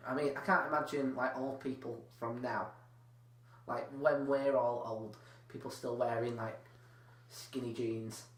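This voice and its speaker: A man's voice: mid-range voice, steady tone, unhurried. A young man hosting a casual comedy podcast, rambling and hedging with lots of "like"s. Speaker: podcast host. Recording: roomy echo with faint hum.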